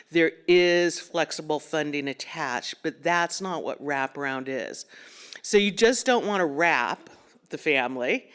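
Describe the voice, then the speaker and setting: A woman's voice: low voice, fairly bright tone, clean tone. A woman clinical psychologist, giving a conversational conference talk with frequent ums and self-corrections.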